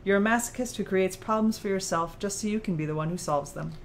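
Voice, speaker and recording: A woman voices a female author in an audiobook dialogue, probing and analytical. She speaks at a middling pitch in pointed, articulate sentences. There is some noise behind the recording. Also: quick pace, close to an everyday voice. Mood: pressing, insistent. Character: sharp, probing female author challenging her own creator.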